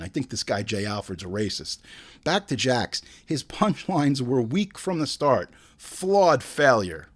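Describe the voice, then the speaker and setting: Animated man ranting through a casual review. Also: mid-range voice. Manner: profane, emphatic, exasperated ranting with slangy asides. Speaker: host of a movie review show. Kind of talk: casual comedic review rant.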